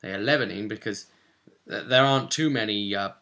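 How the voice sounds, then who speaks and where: A man's voice: lowish voice, a little fast, bright, forceful tone. A man giving an informal, conversational tutorial in a chatty manner, as if explaining game rules to a newcomer. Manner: chatty, checks in with "okay?" and "right?". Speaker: presenter of a tabletop roleplay primer.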